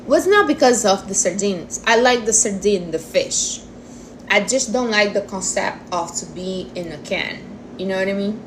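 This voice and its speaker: A woman's voice: medium pitch, expressive, normal speed, a little hiss or hum, poor audio. A woman of forty chatting casually and informally in non-native English phrasing, like a host answering viewers. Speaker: livestream host answering viewer questions on diet. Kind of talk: casual chat.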